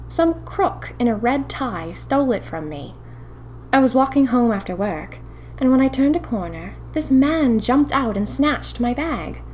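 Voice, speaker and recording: A woman's voice: a little high, a little mellow, a little hiss or hum. A woman playing a young 1920s typist who confides eerie happenings to her diary in a chatty, dramatic, wry way. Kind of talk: first-person audio fiction diary narration.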